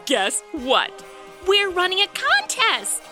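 A woman's voice: extremely high pitch, wildly swooping pitch, slow pace, music in the background. A woman hosting a podcast with scripted narration and announcements. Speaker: podcast host.

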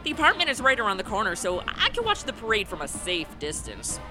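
A woman's voice: mid-range voice, huge pitch swings, fast, over music. A woman acting a sardonic, irritable, hard-drinking publicist in a comic radio play, with slangy, sarcastic delivery. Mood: wry, jaded.

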